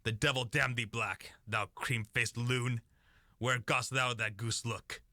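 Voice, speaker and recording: A defiant warrior tyrant played by a man declaims in a Shakespearean radio drama. The voice is bright, hard and commanding at a middle pitch, scornful throughout, in a dry recording. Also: steady tone, measured pace, no room sound. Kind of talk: Shakespearean radio play acting.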